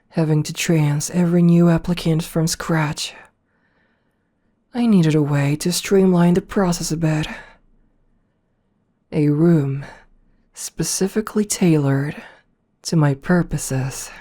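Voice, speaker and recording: A woman playing a possessive, domineering rich CEO in an ASMR roleplay, speaking softly and coaxingly with condescending sweetness, slipping into whispers. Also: deep voice. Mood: smug, proud. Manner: slow, soft, breathy coaxing, slipping into whispers.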